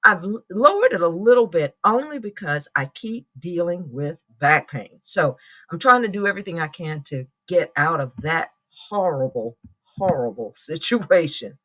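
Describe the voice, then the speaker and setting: A woman's voice: fairly low pitch, lively intonation, normal speed, fairly bright tone, poor audio. Middle-aged lady rambling on her morning livestream, chatty, laughs a lot, greets folks in chat by name. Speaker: livestream host.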